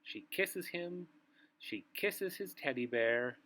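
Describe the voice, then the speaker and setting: Animated, unhurried male children's storyteller. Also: on the high side. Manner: theatrical, drawn-out phrasing with questions to children. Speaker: storyteller reading a picture book aloud to young children. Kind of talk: expressive children's read-aloud with asides to the listeners.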